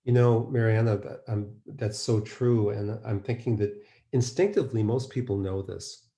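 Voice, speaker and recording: A man's voice: on the low side, steady tone, normal speed, gravelly, dark tone. A man presenting a webinar in a conversational, explanatory way, posing rhetorical questions as he teaches. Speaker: presenter, mind-body medicine practitioner. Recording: roomy, echoing webinar audio.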